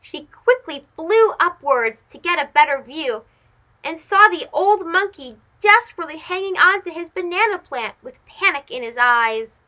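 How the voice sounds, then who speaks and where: A woman narrating a children's story as a read-aloud host, expressive, dramatic and clearly phrased. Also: very high voice, normal speed, muffled recording.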